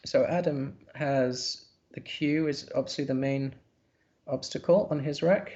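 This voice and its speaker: A man's voice: medium pitch, fairly even intonation, unhurried, a little mellow, dull audio. A man commentating a live board game, thinking aloud in a hesitant, musing way.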